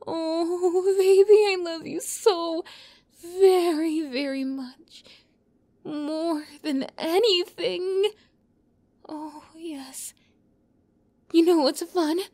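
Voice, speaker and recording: A woman playing an obsessive young yandere captor in an ASMR roleplay, close to the mic, moving between soft whispers and giddy, high outbursts. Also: fairly even intonation, extremely slow, long pauses. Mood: giddy, elated.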